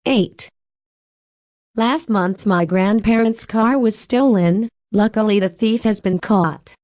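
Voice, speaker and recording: A woman reads numbered practice sentences for an English textbook very slowly and deliberately. Her voice sits at a middle pitch. The recording is close and dry. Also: darkish tone, no room sound. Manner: very slow, deliberate sentence dictation. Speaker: audio narrator for an English textbook. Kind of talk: scripted textbook listening narration.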